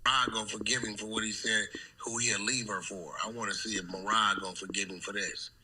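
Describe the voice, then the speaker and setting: A man's voice: on the low side, fairly even intonation, brisk, crowd noise, poor audio. A man giving gossip commentary as a call-in commentator, confrontational, slangy and taunting.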